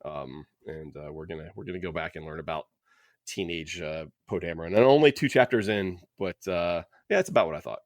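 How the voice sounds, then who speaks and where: A man hosting a casual podcast chat, rambling and halting as he thinks aloud. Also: sits low, no echo, clean studio sound. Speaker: podcast host.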